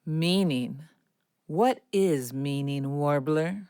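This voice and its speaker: A wary snake who distrusts humans, super low slow ominous voice, dry audio play sound. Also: female voice, warm tone, smooth, dry recording. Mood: puzzled. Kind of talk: audio drama acting.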